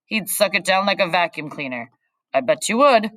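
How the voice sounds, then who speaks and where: Woman doing a silly loud schoolboy. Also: lowish voice, animated, moderate pace, no room sound, recorded from a distance, voice pushed up. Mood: playful. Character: boy classmate, silly, loud.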